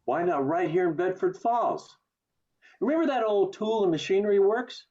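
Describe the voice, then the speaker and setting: A man's voice: high-pitched, expressive, normal speed, darkish tone. A man acting an earnest, stubborn young small-town man in an amateur radio play, with stammering, emphatic delivery over a video call. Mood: guarded, earnest. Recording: muffled video call audio.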